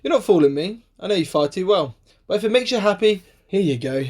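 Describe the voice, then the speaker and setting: A woman's voice: mid-range voice, lively intonation, brisk, darkish tone, natural voice. A woman acting a cocky, sceptical young adventurer with sarcastic banter in an amateur radio play. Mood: cocky, dismissive. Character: cocky, sceptical, bold young adventurer who loves to mock.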